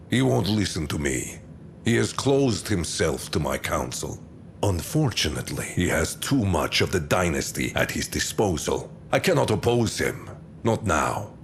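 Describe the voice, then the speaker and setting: A man's voice: low voice, a little hiss or hum, heavily acted. An actor playing an imprisoned male heir who talks in a brooding, wry, resigned way.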